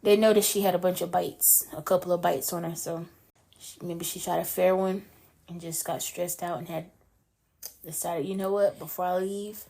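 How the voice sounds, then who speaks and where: Low mellow-voiced woman co-hosting a chat show. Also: fairly even intonation, moderate pace, gravelly. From North Carolina. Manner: chatty, reads news items then riffs on them. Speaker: podcast co-host. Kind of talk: casual chat and news reading.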